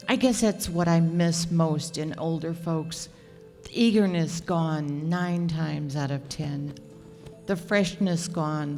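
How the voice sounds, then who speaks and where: A woman's voice: lowish voice, a little mellow. A woman acting a reflective, melancholy older woman in a radio play monologue. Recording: echoey hall, music behind.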